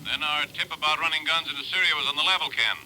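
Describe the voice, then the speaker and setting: An actor playing a brisk, authoritative intelligence chief in a vintage radio drama. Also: male voice, fairly high pitch, bright, forceful tone, faint music behind, poor audio. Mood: brisk, businesslike.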